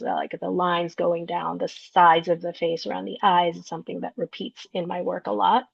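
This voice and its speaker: Woman artist from Peru presenting her work, gravelly fry, muffled dry lo-fi sound. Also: on the low side, no echo. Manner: explanatory, unhurried, searching for words. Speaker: visual artist. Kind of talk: artist talk walking through slides of her work. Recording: dull lo-fi recording, dry.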